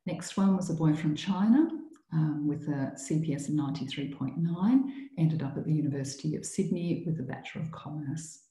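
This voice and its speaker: A woman's voice: deep voice, normal speed, mellow, echoey room, rough recording. A woman from a school giving an informal, chatty talk to prospective students, explaining things with frequent ums and restarts. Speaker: school staff presenting to students.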